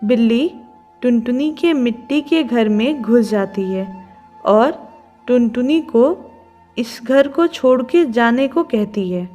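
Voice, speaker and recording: A woman's voice: on the high side, animated, takes it slowly, warm tone, soft background music. A woman narrating a children's cartoon story from a script.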